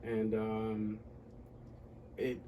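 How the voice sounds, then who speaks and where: A man from the United States answering interview questions casually and reflectively, often pausing mid-sentence to find his words. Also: on the low side, completely flat delivery, mellow, far from the mic, a little hiss or hum. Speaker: podcast guest.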